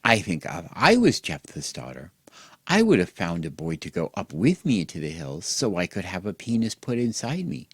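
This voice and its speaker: A man hosting and telling a mock children's bedtime story in an exaggerated gentle, sing-song way, as if speaking to small children. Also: sits low, huge pitch swings, moderate pace, slightly rough, no room sound, clean studio sound. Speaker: host and storyteller.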